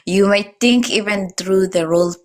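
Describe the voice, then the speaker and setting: A woman's voice: medium pitch, normal speed. A young Kenyan woman, a guest, giving earnest advice and repeating her key points. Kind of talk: interview testimonial.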